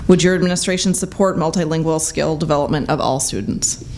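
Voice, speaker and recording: A forum moderator who briskly introduces candidates and reads out questions. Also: medium pitch, a little mellow, slight background noise. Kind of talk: moderating a panel.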